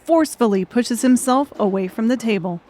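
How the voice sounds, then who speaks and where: A woman's voice: on the high side, fairly quick, noisy background. A woman reading scripted fiction narration as an audiobook narrator.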